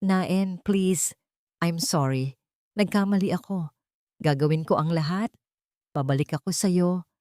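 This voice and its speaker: A woman's voice: on the low side, unhurried, pauses often, darkish tone, no echo, close to an everyday voice. A woman acts out a disgraced businessman husband in an audio storybook, desperate and pleading. The Filipino-accented voice is recorded very dry. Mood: begging.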